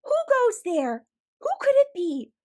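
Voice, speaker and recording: A woman's voice: extremely high pitch, big pitch swings, a little slow, warm tone, pitched above the performer's own voice, full character voice. A woman voicing a timid little male mouse from a storybook who tries to sound brave and often speaks in questions. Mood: frightened, calling out. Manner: rhyming, exclamatory questioning.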